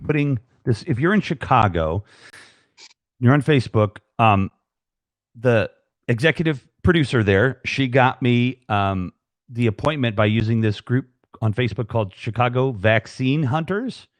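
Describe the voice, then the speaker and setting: A man's voice: medium pitch, expressive, moderate pace, dry recording. A man hosting a casual live stream chat, rambling with many restarts and fillers.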